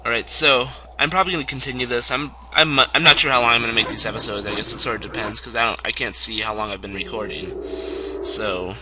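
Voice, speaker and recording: A young man with a hoarse voice, casually commenting on a strategy game he is playing at home, rambling and chuckling often. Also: mid-range voice, quick pace. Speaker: let's play gamer. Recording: steady background noise.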